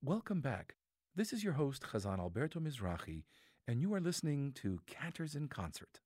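A man's voice: medium pitch, very animated, normal speed, darkish tone, breathy edge, no room sound, studio quality. A man from Greece, a cantor, hosting a radio program about music with scripted narration.

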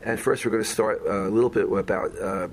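A male interviewer talks in a fairly low voice with halting, hesitant phrasing. The interview is informal and recorded on location, with a faint layer of noise behind him. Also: fairly even intonation.

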